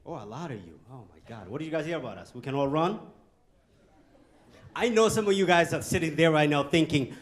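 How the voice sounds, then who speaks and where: A man from Ethiopia, giving a joking, animated motivational talk to a youth audience in a conference hall and throwing questions at the crowd. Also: fairly high pitch, a little fast. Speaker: guest speaker.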